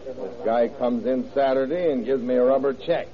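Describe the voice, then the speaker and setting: An actor playing a gruff, uncooperative tavern bartender who talks in slang, in old radio crime drama style. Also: male voice, mid-range voice, normal speed, a crowd in the background, natural voice. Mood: surly, grudging. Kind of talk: 1940s-50s radio crime drama acting. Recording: muffled old radio recording.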